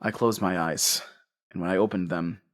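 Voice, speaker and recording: An actor playing a haunted, guilt-ridden husband and father, narrating a horror audio drama in a halting, anguished way. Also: deep voice, steady tone, lightly acted. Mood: dazed, subdued. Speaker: narrator and lead character.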